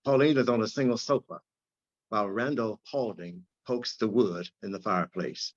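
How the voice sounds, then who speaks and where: A man's voice: fairly low pitch, normal speed, highs cut off. A man reading out screenplay stage directions as scripted narration.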